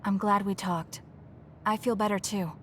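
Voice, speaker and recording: A woman voicing a composed, blunt young female soldier in an anime game dub, measured and reserved. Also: medium pitch, steady tone, a little hiss or hum, natural voice. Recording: music playing behind the voice. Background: game background music.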